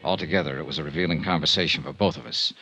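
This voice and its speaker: An actor with an American accent playing a smooth, tough but tender insurance investigator in a 1950s radio drama, with measured, clipped delivery. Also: male voice, extremely low pitch, bright, forceful tone, soft background music. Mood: matter-of-fact narrating. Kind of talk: 1950s radio detective drama acting and narration. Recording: dull 1950s radio recording.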